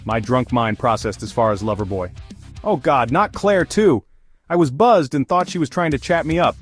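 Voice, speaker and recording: A man's voice: mid-range voice, lively intonation, normal speed. A man narrating a first-person comedic fantasy story as a scripted audio series. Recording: music behind the voice.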